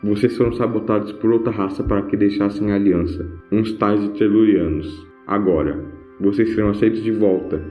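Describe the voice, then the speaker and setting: A man's voice: on the low side, steady tone, brisk, hardly any pauses, close to an everyday voice. A man playing a kindly old grandfather doctor with an old-fashioned, hemming manner in an audio drama. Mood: formal, reassuring. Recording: roomy, dull home recording with music.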